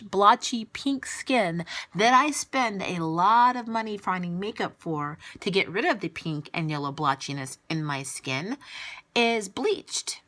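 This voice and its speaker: A woman's voice: on the low side, pushed and bright. A woman vlogger speaking straight to camera, answering her critics in an exasperated, emphatic and blunt way. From United States. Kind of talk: direct-to-camera rebuttal vlog.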